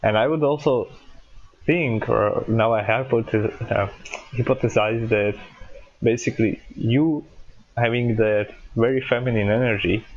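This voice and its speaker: A man hosting a podcast interview, speaking haltingly and often restarting words as he searches for phrasing. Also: fairly low pitch, darkish tone, no room sound, highs cut off. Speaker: podcast host.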